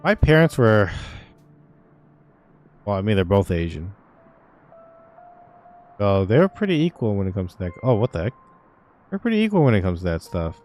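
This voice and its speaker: A man's voice: fairly low pitch, very animated, moderate pace, long pauses, a little mellow. A young man streaming a game, telling stories in a loose, rambling way full of "like" and "uh". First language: Vietnamese. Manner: rambling, filler-heavy storytelling. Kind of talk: casual gaming stream chat. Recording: faint game music behind.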